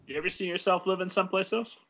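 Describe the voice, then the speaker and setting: Relaxed man hosting a chat. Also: high voice, fast. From Indiana, US. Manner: relaxed, asks short questions, tells a playful story. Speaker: podcast host. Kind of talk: casual interview chat. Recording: slightly dull lo-fi audio.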